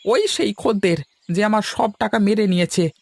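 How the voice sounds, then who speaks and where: A man voicing an aggrieved village grocer and worried father in a cartoon, with a creaky voice. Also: high voice, lively intonation, normal speed, exaggerated voice. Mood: angry, accusing. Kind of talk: Bengali cartoon dialogue.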